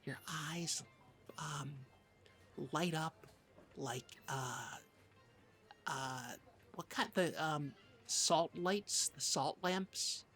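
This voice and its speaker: A woman co-hosting a comedy podcast, improvising banter in a halting, hesitant way. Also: low-pitched, fairly bright tone, soft background music.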